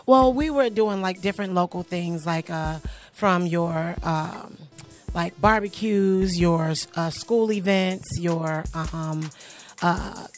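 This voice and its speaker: A middle-aged woman with a naturally raspy voice, a singer and youth arts instructor answering interview questions in a relaxed, chatty way with many fillers, recorded in a small studio on a handheld mic. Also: on the low side, slow, soft background music. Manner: relaxed, chatty, trailing off with fillers, light laughs. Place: small studio in front of a logo backdrop. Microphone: handheld mic held by interviewer.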